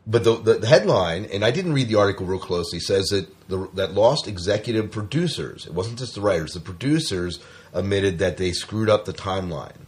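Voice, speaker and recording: A man's voice: low-pitched, expressive, brisk, breathy edge. A man hosting a podcast, chatting casually through science fiction news and putting questions out in a conversational way. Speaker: podcast host. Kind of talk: casual sci-fi news chat.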